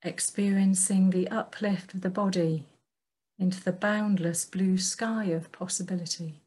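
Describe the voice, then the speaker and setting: A woman guiding a meditation in gentle, measured phrases with long pauses between them. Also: fairly low pitch, steady tone, husky. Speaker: meditation teacher. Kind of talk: guided meditation.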